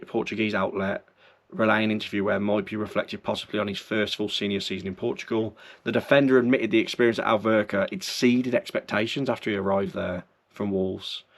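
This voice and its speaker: A man runs through his club's latest news in a loose, chatty way, often stopping to correct himself. His fairly low voice sounds a bit croaky, as if he is under the weather. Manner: chatty, rambling, self-correcting. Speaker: football fan channel host. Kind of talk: casual fan news chat.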